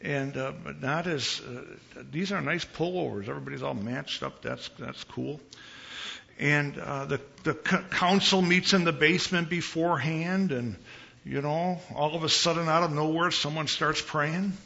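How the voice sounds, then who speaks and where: A man from Chicago preaching as a visiting pastor in a church, with a big voice and an unhurried, conversational manner, pausing and repeating phrases for emphasis. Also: fairly high pitch, gravelly, bright, forceful tone, dull audio. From Chicago, United States. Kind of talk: conversational sermon. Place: church sanctuary.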